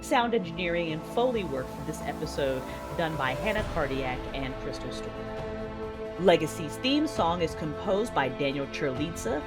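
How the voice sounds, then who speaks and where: Female drama-podcast host for announcements and credits, slightly low register, chatty and inviting, over a music bed. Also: very animated, normal speed. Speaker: host and producer of an audio drama. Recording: music behind the voice.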